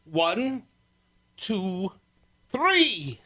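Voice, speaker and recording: A high-pitched, imperious prince of another planet, acted by a man in a radio serial. He gives orders in formal, theatrical phrases, stern and grand. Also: big pitch swings, drawn-out words, sharp tone, exaggerated voice. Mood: stern, menacing. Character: imperious alien prince, stern rebel leader with soldiers. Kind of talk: old-time radio serial acting.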